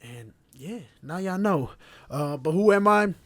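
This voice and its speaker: A man, hosting a podcast in a relaxed, proud monologue to camera. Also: sits high, unhurried, no echo. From born in Slovenia, raised in Connecticut. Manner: relaxed, proud, repetitive phrasing. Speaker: podcast host. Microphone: camera mic.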